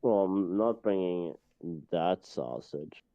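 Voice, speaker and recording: A man's voice: low-pitched, slow, no room sound. A man on a call joining a stream chat and making dry, teasing jokes. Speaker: stream guest. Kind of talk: casual chat over a call. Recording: tinny phone-like call audio.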